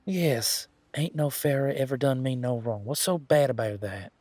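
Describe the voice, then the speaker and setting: A man playing an easygoing, teasing country-boy werewolf bodyguard in a roleplay audio drama, talking in a folksy, informal way with drawn-out hesitations. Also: mid-range voice, a little mellow, no echo, close to an everyday voice. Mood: playfully defensive. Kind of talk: roleplay audio drama, one-sided conversation.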